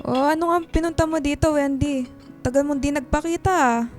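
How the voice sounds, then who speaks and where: A woman's voice: high voice, fairly even intonation, brisk, soft background music, natural voice. A woman playing an irritable, dismissive middle-aged mother in a Filipino radio drama, brusque and defensive.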